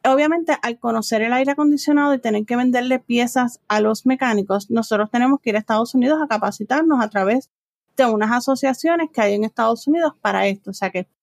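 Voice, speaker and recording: A woman's voice: on the high side, quick pace, creaky, no echo, studio quality. A middle-aged woman with a Puerto Rican accent, answering conversationally as an interview guest. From Puerto Rico. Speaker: interview guest, business owner and trainer.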